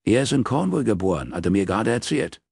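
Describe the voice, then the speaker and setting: A man's voice: fairly low pitch, big pitch swings, fast talker, vocal fry, darkish tone, husky, dry recording, clean studio sound, voice pushed up, heavily acted. A man voicing a blunt, jovial Cornish friend in an audiobook, teasing and unvarnished.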